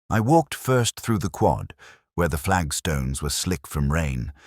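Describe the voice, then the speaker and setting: Male actor as older detective narrator for audio drama: extremely low voice, reflective, weary, literary delivery, dry studio sound. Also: no room sound, full character voice. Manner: measured, literary, melancholic. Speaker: first-person narrator.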